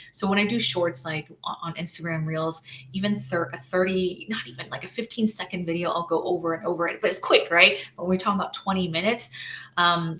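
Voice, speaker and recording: A woman's voice: lowish voice, pushed and bright, low-quality recording. A woman running her own small business, walking through her slides in a casual screen-share, thinking aloud with lots of "right?" tags and restarts.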